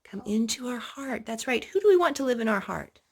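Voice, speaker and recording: A woman's voice: on the high side, expressive, a little fast, husky. A woman hosting a children's storytime, reading picture books aloud and asking little prompting questions in a child-directed way.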